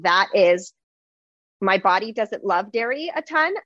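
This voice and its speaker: A woman's voice: medium pitch, a little slow, no room sound. Chatty woman on a dull line. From United States. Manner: chatty, many fillers, animated. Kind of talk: casual conversation.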